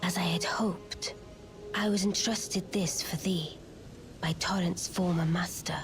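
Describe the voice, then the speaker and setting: A mysterious, aloof young witch from a fantasy game. The voice is low, soft and breathy. Lines come slowly in cool, courtly, archaic phrasing, with music clearly behind. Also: fairly even intonation. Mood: cool, courteous. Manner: measured, archaic courtly speech. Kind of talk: dramatic fantasy game acting.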